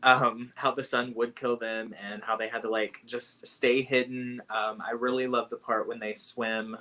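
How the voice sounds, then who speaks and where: Laid-back male host chatting about a book on a live call, mid-pitched, easygoing. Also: a little slow, slight background noise. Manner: relaxed, chatty, laughs easily. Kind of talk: casual book chat on a live stream. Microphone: video call.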